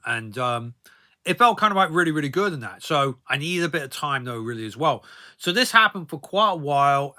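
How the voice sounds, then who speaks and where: A middle-aged man with a very bright, forceful voice pitched a little high. He talks in a casual, rambling vlog style, as if chatting through a personal story, and often drops in small filler tags. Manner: rambling, conversational, frequent verbal tags like "you know" and "though". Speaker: vlogger.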